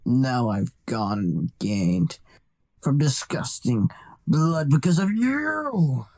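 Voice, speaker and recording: A man voicing a monstrous, bloodthirsty bat devil with a slow, theatrical delivery in an anime fan dub. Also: mid-range voice, very animated, gravelly, darkish tone, heavily acted. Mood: menacing, disgusted.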